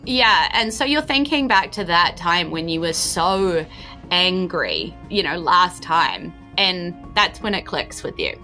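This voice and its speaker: A woman, improvising narration as game master of a tabletop game podcast in a chatty, excitable, emphatic way. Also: fairly low pitch, measured pace, faint music behind. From New Zealand.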